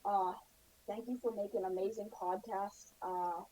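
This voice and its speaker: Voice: mid-range voice, steady tone, moderate pace, dark tone. A young listener leaving a polite question as a voicemail. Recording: hissy, noisy voicemail recording.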